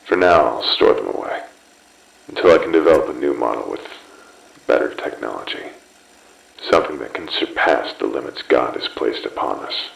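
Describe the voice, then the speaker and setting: Very deep gravelly mad scientist. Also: male voice, lively intonation, slight background noise, full character voice. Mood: cold, calculating. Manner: slow, deliberate, grandiose pronouncements. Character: cold obsessive male mad scientist dictating experiment logs. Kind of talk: scripted horror game audio log. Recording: echoey taped audio log.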